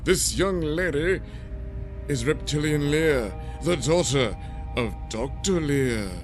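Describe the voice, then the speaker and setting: A man's voice: a little high, takes it slowly, faint music behind. A man voicing a pompous, noble dog field commander with formal, declamatory speech in a space adventure audio drama. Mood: formal, imploring.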